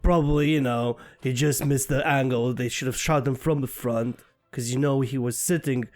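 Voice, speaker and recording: A man's voice: medium pitch, steady tone, moderate pace, darkish tone. A man co-hosting a casual podcast chat in a playful, teasing way, often restarting his words. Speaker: podcast co-host.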